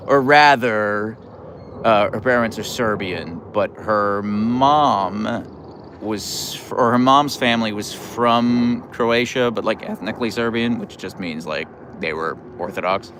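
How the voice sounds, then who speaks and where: A middle-aged American man hosting a casual livestream, playful and wry, reading out comments and answering in halting starts. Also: fairly low pitch, background noise. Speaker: livestream host.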